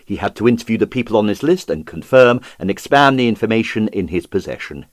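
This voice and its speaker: Theatrical male storyteller, very varied pitch. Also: lively intonation, fast, dry recording, studio quality. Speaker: audiobook narrator. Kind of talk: dramatized reading of a comic detective novel.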